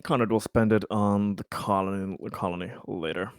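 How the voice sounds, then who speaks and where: A man's voice: lowish voice, steady tone, normal speed, clean tone, no room sound, studio quality. A man chatting casually as a player after a tabletop roleplaying session. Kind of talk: casual post-game chat.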